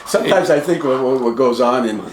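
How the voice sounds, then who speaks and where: A man born in Italy chatting in an interview, animated and quick to laugh. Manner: animated, laughing, swinging pitch. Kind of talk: conversational interview. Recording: roomy with faint background noise.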